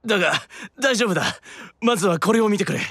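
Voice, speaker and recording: A man's voice: high voice, lively intonation, fast talker, creaky, a bit bright, slight background noise, full character voice. A man playing a proud, earnest, worn-out demon brother with a stiff, dramatic delivery in a Japanese anime-style audio drama. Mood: weary, determined.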